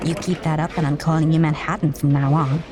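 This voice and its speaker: A woman's voice: low-pitched, flat delivery, fairly quick, a crowd in the background, lightly acted. A woman voicing a capable, cheeky young male assistant in an audio drama. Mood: teasing.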